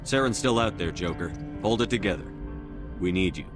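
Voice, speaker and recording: An actor playing a blunt, steady military commander in a video game, firm and clipped, with music behind him. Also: male voice, low-pitched, a little fast, lightly acted. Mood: firm, insistent.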